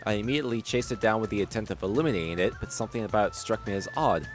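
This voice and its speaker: A man's voice: fairly low pitch, lively intonation, very fast, faint music behind, lightly acted. A man reading a scout soldier's lines aloud from a game in his own voice. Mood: earnest. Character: earnest scout soldier reporting a creature.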